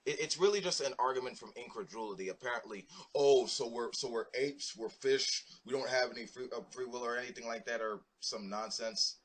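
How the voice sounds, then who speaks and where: A man's voice: medium pitch, a little fast, hardly any pauses, fairly bright tone. A man giving conversational commentary in a mocking manner, restarting his phrases.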